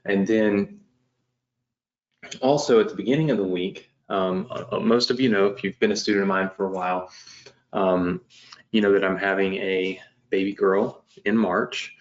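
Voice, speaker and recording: A low-voiced man in his late thirties hosts a live online business show, chatting loosely and circling back with lots of fillers and restarts. The stream audio is dull and lo-fi, and he sounds like he's at a home webcam setup. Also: steady tone, moderate pace. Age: 30s. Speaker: host of a live business webinar. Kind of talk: casual live-stream talk. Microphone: webcam setup at home desk.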